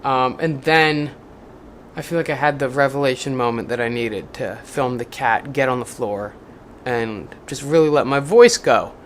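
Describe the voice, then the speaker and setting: A casual vlogger speaks at an everyday middle pitch and swings between rough, profane venting and a deliberate, lifted read-aloud voice. The talk rambles with fillers and restarts, and a faint hiss sits under it. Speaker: video maker reading a book aloud.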